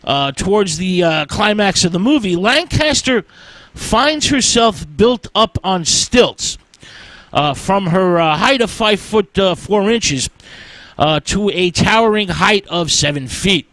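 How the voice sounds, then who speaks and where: A man hosting a radio segment on film history, talking slowly with frequent "uh" fillers and halting pauses. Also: high-pitched, animated, a bit bright, dry recording, poor audio. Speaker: radio host.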